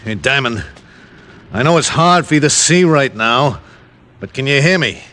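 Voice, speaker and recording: A man's voice: medium pitch, expressive, normal speed, sharp tone. An actor playing a mocking, menacing gangster thug with a sneering tough-guy delivery in an old-time radio crime drama. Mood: sneering menace. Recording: noisy old radio recording.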